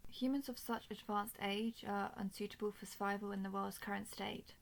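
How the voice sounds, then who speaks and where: A female robot soldier, acted by a woman, speaks with a fry-edged voice at a medium pitch. She is formal, measured and emotionless, yet dutiful and protective as she addresses the listener in an audio roleplay. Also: fairly even intonation, lightly acted. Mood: calm, dutiful.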